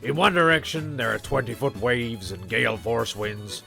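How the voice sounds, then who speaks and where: A man playing a wry storyteller, reading scripted comic narration in a deadpan manner. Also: medium pitch, moderate pace, gravelly, faint music behind.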